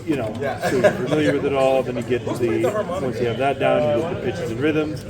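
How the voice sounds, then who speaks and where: A man's voice: medium pitch, fairly quick, over a noisy crowd. A man, an opera singer, answering interview questions in an easygoing, matter-of-fact way. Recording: loud background music.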